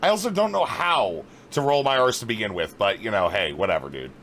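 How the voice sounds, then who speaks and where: A man's voice: fairly low pitch, big pitch swings, brisk, fairly bright tone, slight background noise. A man streaming a casual reaction to a video, sarcastic and swearing as he quips at it.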